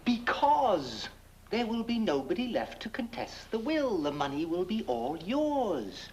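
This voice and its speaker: An actor playing a scornful, greedy, irritable schemer with a sardonic, theatrical delivery in a horror-comedy film. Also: male voice, sits high, very animated, unhurried. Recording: noisy old film soundtrack.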